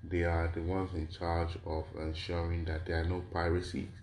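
A man with a very deep voice explains a topic to listeners, posing questions and answering them himself. His voice is slightly hoarse from a cold, and he strings his points into lists punctuated with a questioning "right?". He is from Nigeria. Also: monotone, normal speed, a little mellow, a little hiss or hum. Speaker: lecturer on intellectual property. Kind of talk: explanatory lecture to an audience.

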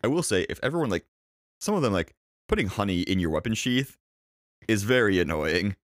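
A young man co-hosting a casual podcast, with a deep voice and a laid-back, dry way of talking, often pausing on fillers. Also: sing-song, dry recording. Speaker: podcast co-host.

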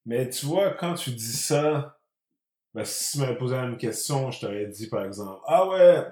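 A man's voice: medium pitch, expressive, moderate pace, a little mellow. Casual Quebec man in echoey room. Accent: Quebec French. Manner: mixes English words into speech. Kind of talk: casual conversational chat.

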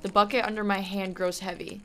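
A woman's voice: medium pitch, little pitch movement, brisk. A young adult woman co-hosting a podcast, chatting casually and reading scary stories aloud. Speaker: podcast co-host. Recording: music under the voice. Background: background music.

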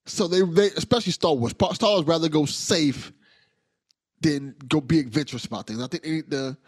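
A man's voice: a little high, brisk, no echo, studio quality. A man hosting a casual podcast interview, enthusiastic and prone to stuttered restarts. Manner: enthusiastic, repeats words as he starts sentences. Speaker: podcast host.

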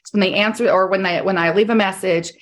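A woman's voice: mid-range voice, steady tone, fast talker. A woman coaching salespeople on a group call, direct and emphatic, repeating her key points, and losing her voice.

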